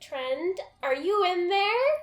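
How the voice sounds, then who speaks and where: A woman's voice: very high-pitched, lively intonation, slow pace, muffled recording, pitched above the performer's own voice, heavily acted. A woman voicing a blunt, suspicious young girlfriend with pointed questioning, in an amateur comedic reading. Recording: roomy echo, faint music behind.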